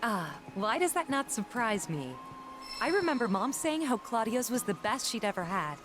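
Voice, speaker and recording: A woman's voice: a little high, sing-song, moderate pace. A woman voicing a friendly, chatty young female companion in a video game, with music playing. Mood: playful, fond. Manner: chatty, playful. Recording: game audio under loud music.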